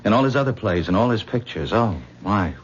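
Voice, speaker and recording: A man's voice: lowish voice, animated, fairly quick, natural voice. An actor playing a modest, earnest man who talks himself down, in a 1970s radio drama. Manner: self-deprecating, earnest. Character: modest, admiring kind man, new friend. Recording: dull old radio broadcast recording with faint hiss.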